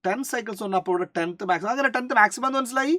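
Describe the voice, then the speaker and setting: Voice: medium pitch, racing, bright, forceful tone. A physics teacher with a Malayali accent works through a problem in a mid-range voice. The delivery is explanatory, switching into English for technical terms and often checking with short questions. The sound is dry, with very little room echo.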